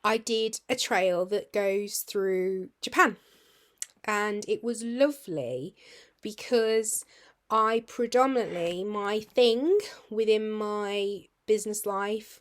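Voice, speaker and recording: A British woman with a husky, hoarse voice, chatting casually to her viewers in a rambling, friendly way that sometimes drops to a near-whisper. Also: medium pitch, slow pace. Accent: British English. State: husky, hoarse after surgery tubes. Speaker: vlogger.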